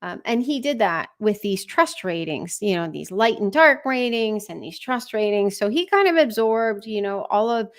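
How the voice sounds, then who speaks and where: A woman's voice: mid-range voice, lively intonation, moderate pace, few pauses. A woman hosting a true crime live stream, giving informal, conversational commentary with wry asides. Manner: conversational, wry asides, acts out read messages.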